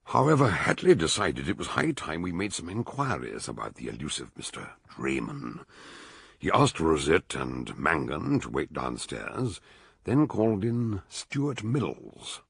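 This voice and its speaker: A man's voice: rumbling low, big pitch swings, a little slow, vocal fry, fairly bright tone, breathy edge, dry recording, studio quality, heavily acted. An actor playing a shrewd, imposing scholarly detective in a radio drama, assured and probing as he narrates and questions. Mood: assured, dry.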